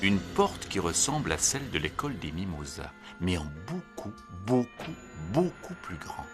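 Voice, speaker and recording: A man narrating a children's cartoon tale in an expressive storybook manner. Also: low-pitched, moderate pace, music in the background.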